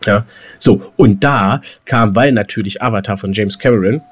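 A man's voice: lowish voice, moderate pace, husky. Man chatting casually in slangy language. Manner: colloquial slang with mild swearing. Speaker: podcast co-host. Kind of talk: casual chat, film talk.

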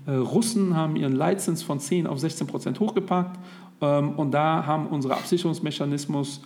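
A man in his thirties co-hosting a casual podcast, talking loosely and filling pauses with lots of hesitations. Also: on the high side, darkish tone. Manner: chatty, with many hesitations. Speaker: podcast co-host.

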